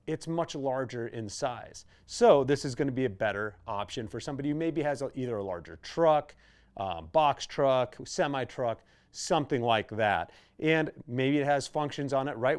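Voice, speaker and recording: A man with a medium-pitched voice. He speaks as a tutorial host, explaining equipment in a plain, conversational style. His delivery is patient and step by step, with casual asides. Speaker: host of product tutorial videos for a radio retailer.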